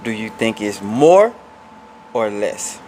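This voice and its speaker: A man's voice: fairly low pitch, sing-song, slow, warm tone, slight background noise. A man in his forties hosting a podcast in casual, informal talk, often repeating words as he forms his thoughts. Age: 40s. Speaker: podcast host. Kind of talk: casual conversation.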